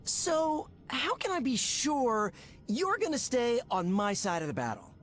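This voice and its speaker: A quick-witted heroine with a high, lively voice. She sounds casual and skeptical in her game banter. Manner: casual, questioning. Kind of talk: video game character dialogue.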